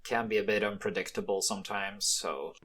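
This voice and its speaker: A man acting in an ASMR roleplay as a mafia boss's son, a soft-spoken, hesitant and protective young man with gentle, measured delivery. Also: lowish voice, fairly even intonation. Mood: measured, instructive.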